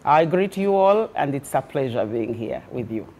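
A woman's voice: extremely low pitch, lively intonation, moderate pace, darkish tone, slight background noise. Impassioned woman speaking. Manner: impassioned, preacherly phrasing. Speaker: teacher education registrar, guest. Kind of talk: TV studio interview. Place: TV studio set.

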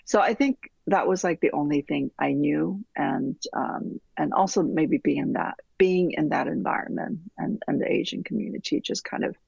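A middle-aged woman from Taiwan speaks slowly and reflectively, with frequent restarts. Her voice has a gravelly, husky texture. The call audio sounds dry and slightly dull. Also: sits low, no echo. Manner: thoughtful, many restarts and soft trailing fillers. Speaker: architect guest. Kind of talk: reflective interview answers. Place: home room with bookshelves, remote call.

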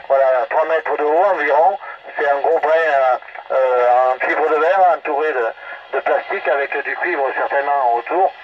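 A man chatting casually over CB radio, rambling and hesitant, repeating himself as he goes. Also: on the high side. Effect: heard over CB radio. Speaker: radio amateur operator. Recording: noisy muffled CB radio transmission. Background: radio static.

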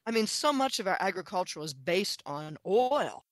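A woman's voice: mid-range voice, big pitch swings, normal speed, dry recording, clean studio sound. A woman speaking as an expert guest in an interview, talking with enthusiasm and emphasis, repeating phrases and posing questions. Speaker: guest, author and chicken expert.

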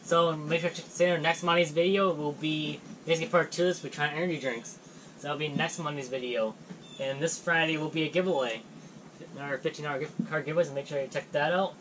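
A man's voice: fairly high pitch, fairly even intonation, a little fast, a bit bright, slight background noise, poor audio. A seventeen-year-old boy, blindfolded in a small shed, hesitantly guessing sodas and chatting on a live stream. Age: teens. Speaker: vlogger. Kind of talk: casual live-stream chat. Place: small tarp-walled shed indoors.

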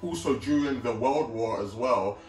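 A man's voice: medium pitch, normal speed. A young man co-hosting a casual chat, speaking straight to the audience and checking that they follow him. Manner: addresses the audience directly, often asks "you know what I'm trying to say?". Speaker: co-host. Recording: roomy sound, mic at a distance, faint music.

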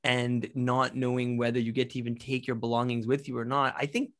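A man's voice: mid-range voice, flat delivery, a little fast. A man in his thirties, raised in North America, hosting a podcast on a video call, curious and rambling with stammered restarts. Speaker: podcast host. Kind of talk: casual video-call interview. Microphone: studio microphone with headphones.

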